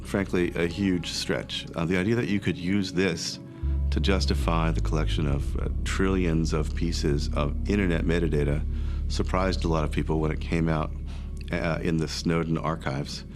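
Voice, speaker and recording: A man's voice: extremely low pitch, fairly even intonation, normal speed. An American man giving analysis as an interviewee in a documentary. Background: background music.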